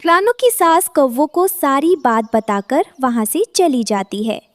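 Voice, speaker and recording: A woman narrator tells a scripted cartoon story. Her voice is high and animated, rising and falling freely, with a dry studio-like closeness. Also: unhurried, no echo.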